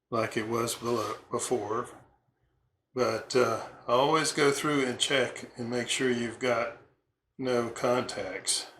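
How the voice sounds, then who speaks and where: Male repair hobbyist, mid-pitched, halting and casual, thinking aloud in an echoey room with light background noise. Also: sharp tone. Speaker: radio repair hobbyist and narrator. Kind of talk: casual hands-on repair demonstration talk. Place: indoors at a repair bench. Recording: roomy echo, background noise, small clicks.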